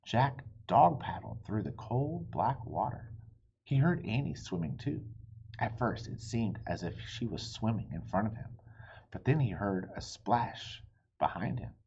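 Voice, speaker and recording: A man's voice: fairly low pitch, lively intonation, measured pace, creaky, a little mellow, husky, lo-fi sound. A man reading a children's storybook aloud with dramatic, suspenseful expression. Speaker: storybook reader.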